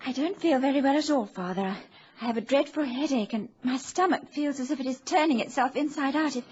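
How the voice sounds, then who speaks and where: A woman plays a genteel young lady of a radio play in a high voice. She is prim and ailing, choosing delicate, formal words. The sound is dull, with faint hiss. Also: fairly quick, darkish tone, lightly acted. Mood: polite, unwell. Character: prim, polite young gentlewoman, ailing daughter. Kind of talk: old-time radio drama acting. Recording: muffled lo-fi radio recording with hiss.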